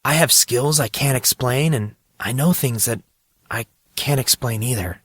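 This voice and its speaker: A man's voice: mid-range voice, a little slow, fairly bright tone, no room sound, clean studio sound. A man voicing an awed, uneasy teenage boy with a hesitant, questioning manner in an audiobook. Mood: earnest confession. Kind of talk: audiobook character dialogue.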